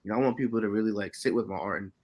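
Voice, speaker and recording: A man in his late twenties, an artist, talking casually and haltingly on a panel with lots of "you know". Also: lowish voice, darkish tone. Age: late 20s. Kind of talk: casual unscripted artist talk.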